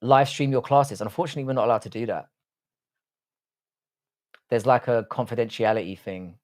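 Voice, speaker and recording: A man with a London accent and a medium-pitched voice hosts a casual live stream. He reads out and answers comments as he goes. His way of talking is informal and matey, with free swearing. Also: fairly even intonation, brisk, darkish tone. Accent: London English. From London, England.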